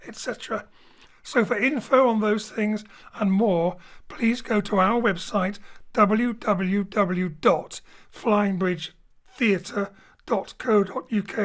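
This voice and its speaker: Cheerful theatre host wrapping up. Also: female voice, medium pitch. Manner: brisk, cheerful, jokey with the cast. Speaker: host and director of the theatre company. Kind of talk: post-show announcements. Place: home studio.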